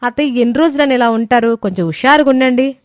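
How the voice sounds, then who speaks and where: A woman's voice: on the high side, quick pace, no echo, faint music behind, close to an everyday voice. A woman voicing a clever young daughter-in-law in a cartoon story, respectful yet teasing. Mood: coaxing. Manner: respectful but cheeky.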